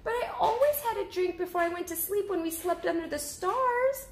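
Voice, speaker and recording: A woman voicing a small, restless bear cub who pleads in a high, loud voice. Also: expressive, moderate pace, roomy sound. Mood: pleading.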